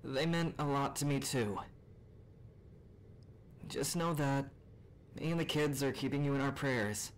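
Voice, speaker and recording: A man playing a gentle, sympathetic family friend leaving an earnest, consoling message in an audio roleplay. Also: medium pitch, measured pace, long pauses, close to an everyday voice. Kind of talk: roleplay voicemail monologue.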